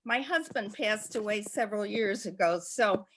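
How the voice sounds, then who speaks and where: A woman's voice: mid-range voice, moderate pace, rough recording. An eighty-year-old woman talking reflectively about her own life in an interview. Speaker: interviewee, hospital volunteer. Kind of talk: reflective personal conversation.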